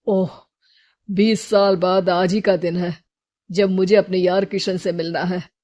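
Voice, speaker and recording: A woman voicing a self-assured adult male outlaw in a storybook tale, with deliberate delivery and slightly muffled sound. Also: fairly low pitch, flat delivery, mellow, close to an everyday voice. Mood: wistful. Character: swaggering adult male outlaw, bandit or notorious thief.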